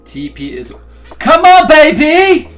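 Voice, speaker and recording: A young South African man who hosts a live day-trading stream. He chats casually with his viewers, cheers his trades loudly and then drops into low, joking asides. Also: huge pitch swings, slow pace, soft background music. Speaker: day-trading livestream host.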